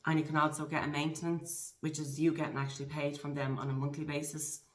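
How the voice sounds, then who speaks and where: A woman in her forties with an Irish accent speaks in a very low register, earnest and encouraging, often restarting her phrases. The room around her is echoey. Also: level tone, fast talker. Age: 40s. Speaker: guest. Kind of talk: conversational interview.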